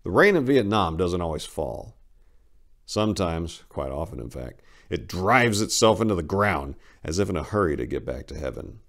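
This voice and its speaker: A man's voice: sits low, sing-song, normal speed, creaky, a bit bright. A man giving a dramatic reading of a short story as its first-person narrator.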